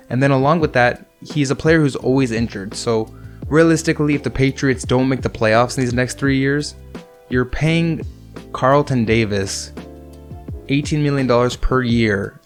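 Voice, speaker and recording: Hoarse young man giving sports takes. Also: mid-range voice. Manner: casual, opinionated, conversational. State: hoarse from illness. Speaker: host. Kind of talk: sports commentary monologue. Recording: faint music underneath. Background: soft background music.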